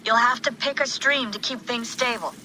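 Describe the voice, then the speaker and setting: An actress playing a focused young tech operator in a science fiction game, talking in a brisk, matter-of-fact way. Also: female voice, a little high, bright, forceful tone, slight background noise, low-quality recording. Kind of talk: naturalistic video game cutscene acting.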